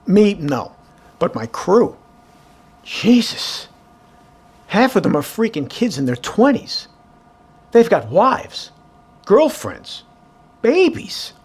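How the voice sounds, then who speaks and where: A man's voice: sits high, huge pitch swings, takes it slowly, long pauses, full character voice. An American man playing a commanding, wary Navy submarine captain in an audio drama, with clipped, authoritative military delivery. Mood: distressed. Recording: clear ambient background noise.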